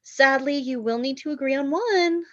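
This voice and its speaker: A woman reading a prim, gently condescending bridal shop saleswoman in a comedy table read. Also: sits high, moderate pace, no echo, lo-fi sound, pitched above the performer's own voice, close to an everyday voice. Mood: polite selling.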